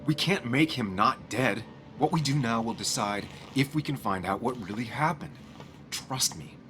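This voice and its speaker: An actor playing a guarded, coldly logical investigative journalist in a video game, speaking in a terse, matter-of-fact way. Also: male voice, medium pitch, lively intonation, moderate pace, music in the background, close to an everyday voice. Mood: defensive, insistent. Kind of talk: naturalistic video game acting.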